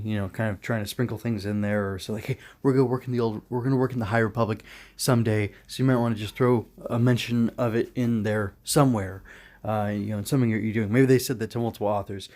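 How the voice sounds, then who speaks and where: A man's voice: fairly low pitch, steady tone, fast, a little mellow, dry recording. A man giving a casual solo review as a podcast host, rambling, thinking aloud and correcting himself as he goes.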